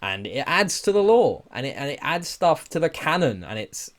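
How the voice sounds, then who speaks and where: A man's voice: fairly high pitch, sing-song, moderate pace. Man chatting enthusiastically about his opinions. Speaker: podcast co-host. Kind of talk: casual film and game chat.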